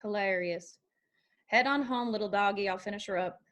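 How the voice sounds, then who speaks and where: A woman's voice: mid-range voice, normal speed, fairly bright tone, natural voice. A woman playing a wry, wistful young bar waitress in a screenplay table read, dry and folksy. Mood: tired, teasing.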